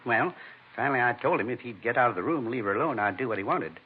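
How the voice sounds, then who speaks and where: An actor playing a shaken, indignant man of fifty-two in a vintage radio drama, with an American accent and halting, earnest, plain speech. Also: medium pitch, lively intonation, natural voice. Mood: distressed, bitter. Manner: halting, earnest, plain-spoken, repeats himself. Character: shaken, indignant fifty-two-year-old husband, a robbery victim. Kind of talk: 1950s radio acting. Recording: muffled, noisy old radio recording.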